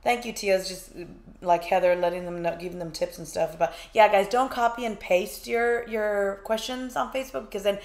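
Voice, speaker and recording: A woman's voice: mid-range voice, fairly even intonation, a little fast, hardly any pauses. A woman co-hosting a live psychic podcast, casually reading out viewers' comments and questions. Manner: casual, reads comments aloud. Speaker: psychic medium podcast co-host. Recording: echoey room.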